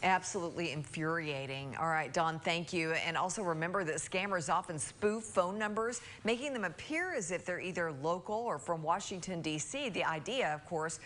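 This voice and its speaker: A woman's voice: lowish voice, moderate pace, hardly any pauses, a bit bright, a little hiss or hum. A woman anchoring a news programme, reading scripted copy in a broadcast style. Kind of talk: scripted newsreading.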